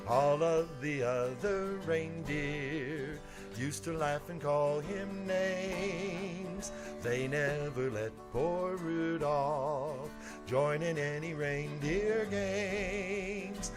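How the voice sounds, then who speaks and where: A singer delivers a Christmas song at a drawn-out pace. The voice sits at a middle pitch over clear guitar backing. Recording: music accompaniment.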